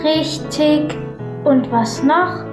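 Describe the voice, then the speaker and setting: A woman plays an impatient young street thief for a children's radio play. She is high-pitched and bossy, instructing in an echoey space with prominent music behind. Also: drawn-out words. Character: bossy, impatient girl pickpocket training a newcomer. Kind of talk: children's audio drama acting.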